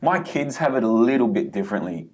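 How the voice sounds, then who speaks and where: A man's voice: mid-range voice, sing-song, quick pace, darkish tone. A man from Australia with an Australian accent, answering in a conversational interview and explaining through personal examples. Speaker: podcast guest, youth leader and speaker.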